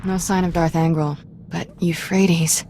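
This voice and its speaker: A woman playing a brisk, capable young Jedi apprentice in a video game cutscene. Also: on the low side, flat delivery, dark tone, a little hiss or hum. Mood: subdued.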